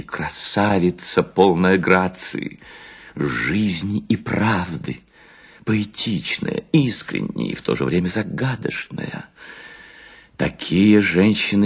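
A man's voice: low voice, creaky, breathy edge, heavily acted. An actor playing a worn-out balding seducer, suavely lecturing on his sly craft in a theatrical literary monologue, savoring words and humming between them. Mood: effusive flattery.

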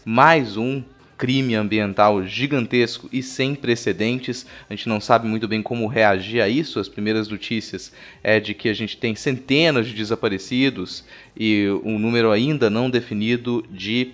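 A Brazilian man hosting a podcast, talking informally with a wry, irreverent manner. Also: mid-range voice. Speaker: podcast host.

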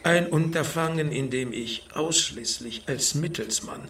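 An actor playing a haughty, discreet underworld middleman in a noir radio drama, speaking formally and evasively with a creaky voice. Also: fairly high pitch, unhurried, a bit bright. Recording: distant mic with background noise.

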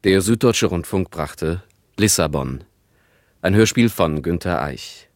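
A man with a very low voice makes a formal radio announcement. He reads slowly and in a measured, scripted style, recorded dry with no room sound. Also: lively intonation, no echo.

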